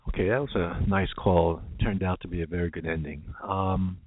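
A man hosting a call-in radio show, brisk and keeping callers to the clock. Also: low voice, fairly even intonation, slightly rough, no room sound, phone-quality sound.

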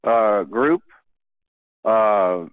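A man with a fairly low voice speaks earnestly as a guest in a casual conversation. He is emphatic and often restarts his sentences. His voice comes through a dry, tinny, phone-like line. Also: expressive, drawn-out words, clean tone, no echo. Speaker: podcast guest.

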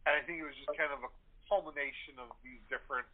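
A man's voice: medium pitch, fairly even intonation, racing. Man chatting on a tinny phone line. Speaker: playwright. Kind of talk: casual interview chat. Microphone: telephone or internet call. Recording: tinny telephone-like sound.

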